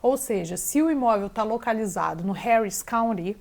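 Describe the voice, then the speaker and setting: A woman's voice: medium pitch, lively intonation, normal speed, gravelly, darkish tone. A Brazilian woman, a real estate agent, chatting in an interview with a Brazilian accent and often mixing English words into her Portuguese. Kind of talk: casual interview chat.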